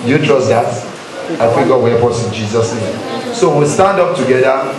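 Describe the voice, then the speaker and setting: A man's voice: medium pitch, lively intonation, normal speed. Nigerian man exhorting in a very echoey hall. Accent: Nigerian Yoruba. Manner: rhetorical questions to listeners, repetitive emphasis. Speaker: church youth leader. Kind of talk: exhortation to a congregation, leading a pledge. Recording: very echoey hall with crowd noise. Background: congregation murmur.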